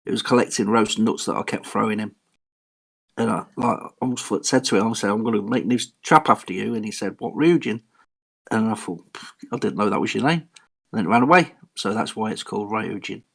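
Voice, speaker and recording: Male musician guest, fry-heavy voice, loose deadpan interview chat. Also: fairly low pitch, brisk. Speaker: guest musician on a radio show.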